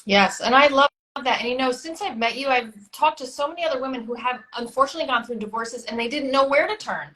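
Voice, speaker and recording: A woman's voice: high-pitched, fast, few pauses, pushed and bright, low-quality recording. A woman hosting a live-streamed interview, gushing and enthusiastic toward her guest. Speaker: host.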